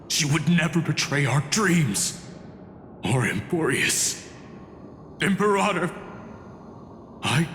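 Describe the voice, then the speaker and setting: Fervent, loyal knight in echoes. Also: male voice, fairly high pitch, a bit bright. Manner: dramatic, fervent, declamatory. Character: loyal, earnest, foolhardy gallant male knight. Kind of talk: scripted video game voice acting. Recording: echoey hall reverb under music.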